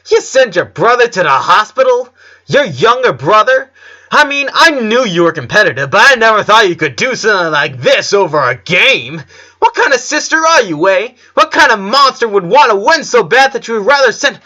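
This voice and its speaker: A man's voice: sits high, sing-song, normal speed, sharp tone, lo-fi sound, pitched above the performer's own voice, exaggerated voice. Man acting out a smug, jeering schoolboy bully. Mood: mocking, goading. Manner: mocking, feigned apology and sarcasm. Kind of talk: audiobook character voice.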